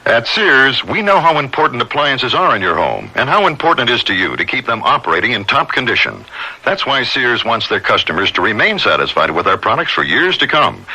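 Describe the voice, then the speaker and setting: A man delivers a scripted radio commercial in a very bright, forceful voice with a husky edge. His mid-range pitch moves in a lively way. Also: rough recording. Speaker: commercial spokesman.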